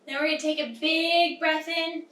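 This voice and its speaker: High-voiced young woman leading kids' storytime yoga. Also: flat delivery, moderate pace, bright, forceful tone. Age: young adult. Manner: simple, encouraging instructions addressed to young children. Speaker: children's yoga storytime instructor. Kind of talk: guided kids' yoga lesson with read-aloud storytelling. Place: small indoor room with wooden floor. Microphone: camera across the room. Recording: roomy echo, distant microphone.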